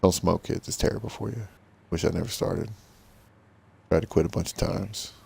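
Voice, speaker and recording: A middle-aged man with a rough smoker's voice, giving easygoing, rambling commentary to viewers while playing a farming game. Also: deep voice, steady tone, moderate pace, a little mellow. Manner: rambling, easygoing, self-deprecating. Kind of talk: casual gameplay commentary to viewers.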